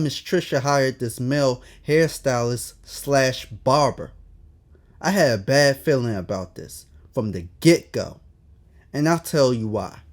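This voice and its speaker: A man narrating his own autobiography as an audiobook, in a candid, colloquial storytelling manner with emphatic asides. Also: mid-range voice. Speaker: author reading own autobiography.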